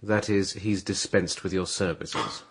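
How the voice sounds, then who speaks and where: An actor playing a calm, shrewd priest, dry, measured and knowing, in a radio drama. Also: male voice, deep voice, slightly rough. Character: shrewd, calm Italian priest, younger brother.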